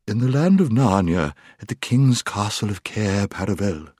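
A man voicing a large, courteous, flustered storybook owl with a soft hooting voice and repeated calls. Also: fairly low pitch, lively intonation, husky, dry recording, studio quality. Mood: gentle, puzzled. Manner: repeats itself, breaks into drawn-out hoots.

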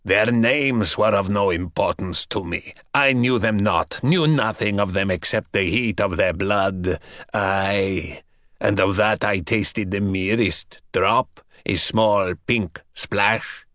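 Old vampire lord guy doing the voice, slow and mocking, low pitched, audiobook. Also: fairly bright tone, no room sound, studio quality. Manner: slow, mocking, archaic speech. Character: ancient buried male vampire, brooding, menacing. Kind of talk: audiobook character voice.

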